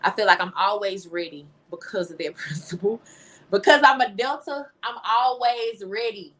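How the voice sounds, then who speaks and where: A young American woman with a medium-pitched voice answers interview questions in a casual, conversational way. She speaks informally and with emphasis, dropping in "you know" as she goes. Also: normal speed, fairly bright tone, poor audio. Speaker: interview guest, teacher and singer-songwriter.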